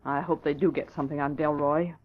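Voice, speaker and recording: A woman's voice: low voice, fairly even intonation, a little fast, slight background noise, lightly acted. A polite, efficient female secretary played by an actress speaks with deference and a mind of her own. The acting is old-time radio drama, and the recording is muffled and lo-fi. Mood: respectful. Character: prompt, polite but opinionated female office secretary.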